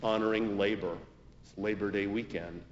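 An American man preaching a homily as a pastor, in measured, deliberate phrases with emphatic stresses and pauses. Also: fairly low pitch, fairly even intonation, slight background noise. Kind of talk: sermon, homily. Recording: dull, reverberant hall recording.

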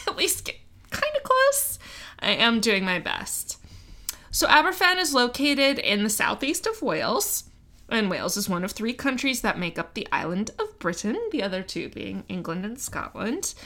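A woman's voice: fairly high pitch, big pitch swings, normal speed, sharp tone. A woman co-hosting a podcast, chatting casually and reading from a script, laughing often and stumbling good-naturedly over hard words. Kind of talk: casual chat mixed with read-out narration.

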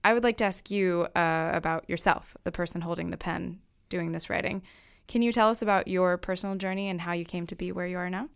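Low, gravelly-voiced woman interviewer. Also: fairly even intonation. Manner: polite, measured questioning. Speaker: podcast host, interviewer. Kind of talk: interview questions.

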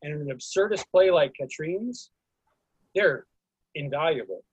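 A man's voice: fairly high pitch, expressive, moderate pace, breathy edge, dull audio. A man hosting a casual discussion about theatre, speaking hesitantly and diplomatically as he hands over to others. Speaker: host, actor and director.

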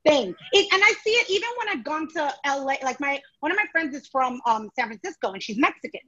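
A woman's voice: sits high, expressive, quick pace, fairly bright tone, muffled recording. A woman from New York City co-hosting a podcast, chatty and full of "like" and "right?" asides. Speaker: podcast co-host. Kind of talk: casual podcast chat.

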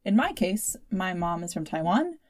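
A woman hosting a podcast, speaking in a measured, conversational way. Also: lowish voice, sing-song, a little mellow. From United States. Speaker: podcast host. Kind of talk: podcast narration and chat.

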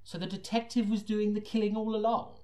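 A man's voice: high voice, little pitch movement, brisk, dark tone, slight background noise, lightly acted. A man playing an exasperated audiobook reader, chatting casually and doubtfully between takes. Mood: weary, dismayed.

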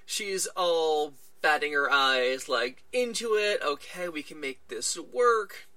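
Male podcast host, very bright tone, dry close mic, casual recap chat. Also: fairly high pitch, lively intonation, slow pace, no echo. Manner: chatty, retelling events with little quoted voices.

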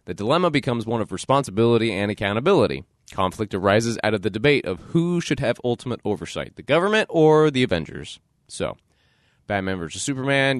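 A man's voice: fairly low pitch, dry recording, clean studio sound. Stuffed-up nasal man hosting a podcast. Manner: animated, playful self-promotion. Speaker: podcast host. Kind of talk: casual solo podcast talk.

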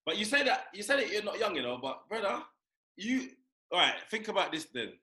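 A man, co-hosting a podcast in loud, teasing casual banter, swearing freely. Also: sits high, big pitch swings, brisk. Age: 40s. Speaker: podcast co-host. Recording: echoey room.

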